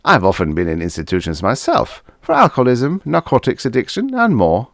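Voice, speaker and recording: A man's voice: medium pitch, huge pitch swings, brisk, gravelly, dry recording, clean studio sound, voice pushed up, full character voice. A man voicing a shifty older mad doctor in a science fiction audiobook, fussy, theatrical and patronizing. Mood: grandly boastful.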